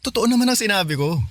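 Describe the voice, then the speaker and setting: A man's voice: high voice, fairly bright tone, dry recording. A Filipino man playing a sincere, flirtatious younger suitor in a radio drama, earnest and conversational.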